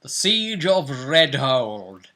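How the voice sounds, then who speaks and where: A man hosting a game recap show as game master, chatting in an excitable, theatrical and playful way. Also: medium pitch, extremely slow, music in the background. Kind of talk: animated chat recapping a tabletop role-playing game.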